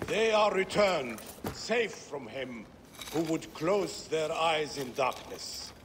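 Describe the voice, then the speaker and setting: An actor playing a solemn, commanding seer who delivers sacred visions in a video game cutscene. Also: male voice, a little high, lively intonation, slow. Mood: reverent. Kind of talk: slow oracular game cutscene speech. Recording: long echo, faint ambient noise. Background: faint ambience.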